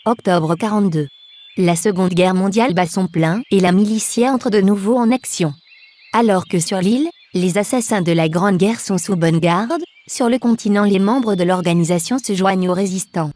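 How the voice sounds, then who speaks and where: Audio drama lead: actress as young, bewildered heroine reading aloud, average pitch. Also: female voice, moderate pace, a little mellow, lightly acted. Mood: grave, reading.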